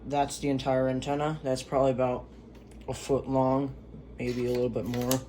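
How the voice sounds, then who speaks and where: A man's voice: medium pitch, completely flat delivery, moderate pace, warm tone, slight background noise, low-quality recording. A man giving a casual, unscripted product review in a hesitant, rambling way, on an amateur home recording. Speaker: gadget reviewer.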